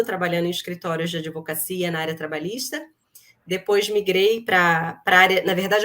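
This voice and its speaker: Lower-pitched middle-aged Brazilian woman. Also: a bit bright. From Brazil, grew up in Rio de Janeiro. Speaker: interview guest, human resources executive. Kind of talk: conversational explaining.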